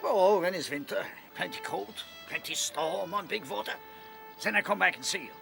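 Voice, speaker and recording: An actor playing a boisterous seabird in a radio play, with a foreign accent and exclamatory broken English. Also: male voice, high-pitched, moderate pace, vocal fry, music in the background. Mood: friendly. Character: boisterous male seabird with a foreign manner of speech.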